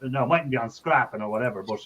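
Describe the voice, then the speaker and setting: A man's voice: medium pitch, racing, a bit bright, slight background noise. An Irish man hosting a live chat, talking in an easygoing, agreeable way. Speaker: host. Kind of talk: casual group chat. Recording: dull lo-fi call audio.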